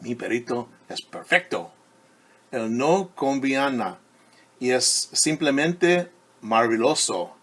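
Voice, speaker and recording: A man's voice: mid-range voice, lively intonation, a little slow, fairly bright tone. A man voicing a proud, quirky little girl from a children's storybook, speaking expressively and emphatically. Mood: delighted.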